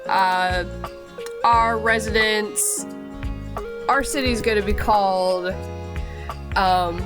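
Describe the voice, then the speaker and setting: A woman's voice: mid-range voice, fairly even intonation, drawn-out words. Brief: woman streamer with a cold, chatty and rambling, game music playing under her. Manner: chatty, filler-heavy, giggly. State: has a cold. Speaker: let's play streamer. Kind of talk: casual gameplay commentary.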